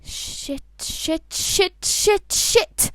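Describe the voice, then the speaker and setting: A melodramatic, lovestruck teenage boy, acted by a woman narrator in an audiobook-style story. The voice is high and dry, with a panicky, dramatic way of thinking out loud. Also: very slow, no room sound, heavily acted. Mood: panicked. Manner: dramatic, sweary inner monologue.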